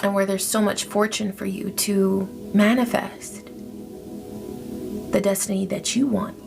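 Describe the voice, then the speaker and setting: Woman, tarot reader, gravelly fry, soft reflective delivery, faint music behind. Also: medium pitch, little pitch movement, measured pace. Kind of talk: spiritual tarot reading.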